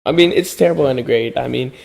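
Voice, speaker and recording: Gravelly young man, laid-back chat. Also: medium pitch, quick pace, noisy background. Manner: laid-back, laughs often, repeats words as he starts. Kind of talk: casual chat.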